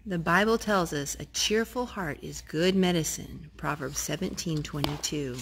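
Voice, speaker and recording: A woman's voice: low-pitched, normal speed, husky. Woman reading a picture book aloud. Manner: gentle, animated read-aloud voice, talking to the children between pages. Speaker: storytime reader.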